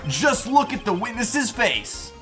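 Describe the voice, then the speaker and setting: A man's voice: very high-pitched, big pitch swings, slow pace, pushed and bright, full character voice. A man voicing an earnest young defense attorney in a video game fan dub, speaking assertively and with accusing emphasis. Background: game music.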